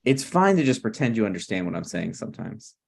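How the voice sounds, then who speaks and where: A man's voice: lowish voice, big pitch swings, fast, vocal fry, husky, full character voice. A man playing a stubborn, snarky broke writer with dry, needling delivery in a comedy table read. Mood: sheepish, dry.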